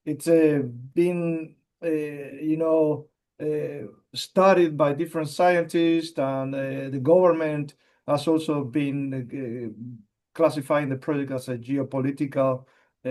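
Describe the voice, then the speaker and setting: A man with an Argentine Spanish accent, a geologist answering interview questions in English, halting with frequent fillers and repeated words. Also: fairly high pitch, mellow. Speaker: mining company executive, geologist.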